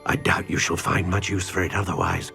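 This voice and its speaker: An actor playing an elderly male wandmaker in a video game, measured, polite and full of wonder. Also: deep voice, fairly even intonation, bright, forceful tone, faint music behind.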